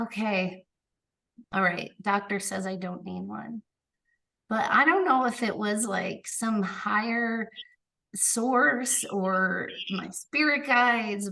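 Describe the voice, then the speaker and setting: A woman's voice: mid-range voice, creaky, a bit bright, recorded from a distance. A woman who is a podcast guest, stuffed up from a cold, telling her story casually with fillers and quoted dialogue. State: congested from a cold.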